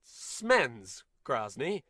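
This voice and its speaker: A super earnest spaceship captain, bright voice, slow and dramatic, radio play spoof. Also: male voice, mid-range voice, big pitch swings, no room sound, studio quality, heavily acted. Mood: solemn. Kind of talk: radio play parody acting.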